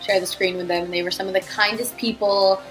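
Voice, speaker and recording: Raspy teenage girl gushing about stuff in an interview, totally excited. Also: medium pitch, fairly quick, soft background music, low-quality recording. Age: late teens. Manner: gushing, enthusiastic. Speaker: young actress guest. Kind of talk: casual interview.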